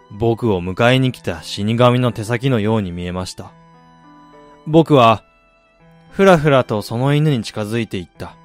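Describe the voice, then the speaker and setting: An actor playing a weary, reflective middle-aged man in a radio drama, recounting his losses in a measured, confessional way. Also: medium pitch, natural voice. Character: weary man who lost family, confessional, brooding. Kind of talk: Japanese radio drama acting.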